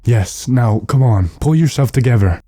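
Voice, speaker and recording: A man playing an earnest, determined gentleman who gives commands dramatically, in the manner of an old radio drama. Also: mid-range voice, lively intonation, moderate pace, natural voice. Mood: relieved. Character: urgent, determined gentleman trapped with his manservant.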